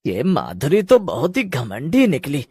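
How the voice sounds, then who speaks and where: Woman doing a husky, smarmy young village loafer. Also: sits low, wildly swooping pitch, a little slow, a little mellow, no echo, voice pushed down, full character voice. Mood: bitter, scheming. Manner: wheedling, taunting, scheming. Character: smarmy, spiteful young village loafer man, pestering suitor. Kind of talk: Hindi cartoon dubbing.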